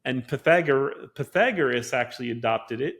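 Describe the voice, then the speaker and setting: A man's voice: mid-range voice, normal speed, fairly bright tone. Eager man explaining at length. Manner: eager, lecturing explanations with many fillers. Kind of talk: casual podcast conversation.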